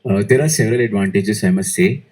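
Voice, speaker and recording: Hesitant man with a low voice. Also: quick pace, darkish tone. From India. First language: Malayalam. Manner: hesitant, many fillers and restarts. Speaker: IELTS speaking test candidate. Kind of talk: exam interview answers.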